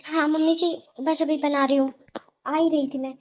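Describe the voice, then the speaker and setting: Lady doing a sad young cartoon wife, high soft voice, meek and self-pitying. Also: little pitch movement, moderate pace, close to an everyday voice, poor audio. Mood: meek, defensive. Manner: plaintive, pleading, self-pitying. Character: weary, hurt young daughter-in-law, meek and aggrieved. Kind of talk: melodramatic Hindi cartoon voice acting.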